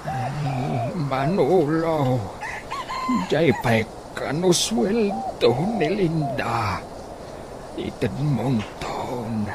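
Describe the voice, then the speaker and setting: A very slow, ailing old father. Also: medium pitch, lively intonation. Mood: weak, pleading. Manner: laboured, halting delivery. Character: ailing old father and business owner, weary. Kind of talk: comedic radio drama acting. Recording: noisy radio drama recording.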